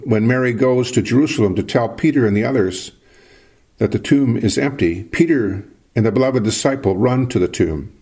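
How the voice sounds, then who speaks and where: An older man, a priest from Canada, giving a sermon in a slow, measured preaching cadence with a husky voice. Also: mid-range voice, a little mellow.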